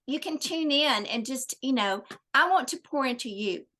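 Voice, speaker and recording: A woman's voice: high-pitched, lively intonation, moderate pace, fairly bright tone. A woman guest who coaches at her church, speaking in an upbeat, motivational way with faith language. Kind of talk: interview chat.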